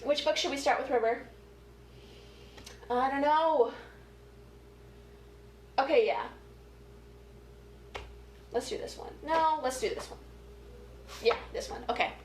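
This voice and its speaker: A woman's voice: high voice, slow pace, pauses often. A woman hosting a casual, interactive story time for children, chatting in a playful, informal and encouraging way. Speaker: story time host, family educator. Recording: echoey room with light background noise.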